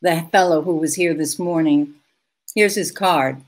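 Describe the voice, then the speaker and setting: A woman's voice: low voice, a little slow. A woman playing a detective's efficient, familiar secretary in a 1940s-style radio drama. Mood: brisk, knowing.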